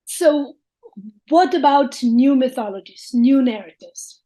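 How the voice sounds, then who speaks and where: A woman lecturing in English with a Slovene accent, speaking in a slow, reflective way with frequent hesitant fillers. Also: sits high, expressive, dark tone, rough recording. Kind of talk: academic lecture.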